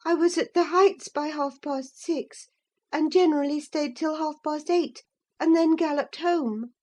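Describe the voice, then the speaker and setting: A woman's voice: sits high, unhurried, darkish tone, voice pushed up. A woman reading aloud and voicing an impulsive teenage girl who pleads breathlessly and earnestly. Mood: confessing.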